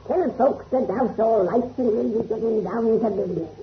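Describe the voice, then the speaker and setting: A woman's voice: a little high, normal speed, a little hiss or hum, natural voice. Woman acting a gleeful ancient witch, muffled old radio. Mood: ghoulish glee. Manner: folksy rustic speech, cackling. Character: gleeful ancient witch, 122 years old, telling horror tales. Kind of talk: 1930s radio horror storytelling.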